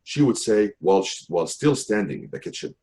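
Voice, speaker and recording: Low-voiced man. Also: big pitch swings, darkish tone, a little hiss or hum. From Syria. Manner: measured, careful reading with occasional restarts. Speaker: author reading his essay. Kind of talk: reading a personal memoir aloud.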